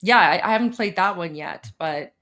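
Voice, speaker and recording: A woman co-hosting a live stream in casual chat, chatty and upbeat, her voice going hoarse. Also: on the low side, lively intonation, normal speed, a bit bright. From Iran. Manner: chatty and upbeat, addresses viewers directly.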